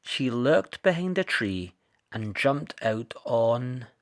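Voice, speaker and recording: A man narrating a children's picture book in an expressive read-aloud style. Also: mid-range voice, takes it slowly. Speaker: storybook reader.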